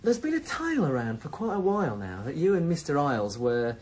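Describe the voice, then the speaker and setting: An actor playing a chummy drug dealer in a radio drama, falsely polite, mock-formal and insinuating. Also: male voice, a little high, sing-song, brisk, a little mellow, slight background noise. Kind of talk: 1990s radio drama acting.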